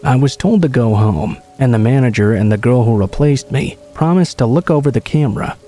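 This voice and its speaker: Dark-voiced man narrating horror stories. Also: medium pitch, lively intonation, fairly quick, faint music behind. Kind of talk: scripted narration.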